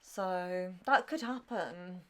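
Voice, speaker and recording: Low-pitched young woman chatting casually. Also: slow. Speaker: co-host reacting as a newcomer to a TV show. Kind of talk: casual reaction chat.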